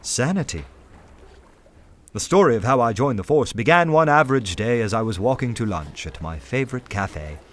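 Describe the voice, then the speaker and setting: An actor playing a wry, cultured artist turned detective in a radio play, articulate and dryly theatrical. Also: male voice, medium pitch, lively intonation, normal speed, close to an everyday voice. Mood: wry, measured. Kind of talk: dramatised first-person narration and dialogue. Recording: music or ambient noise behind.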